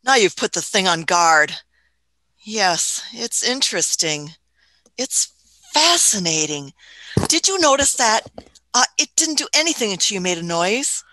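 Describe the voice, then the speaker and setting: A woman's voice: medium pitch, sing-song, a little slow, pushed and bright, no room sound, rough recording. A woman playing an elderly, curious, imperious time-travelling scientist in a radio play, hemming and musing as she goes. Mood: fascinated. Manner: hemming, musing, punctuating with "hmm" and chuckles.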